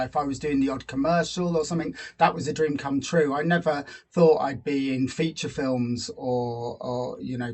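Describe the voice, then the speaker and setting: Higher-pitched man, reflective. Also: husky. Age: 40s. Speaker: guest, actor and filmmaker. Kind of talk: conversational interview answers.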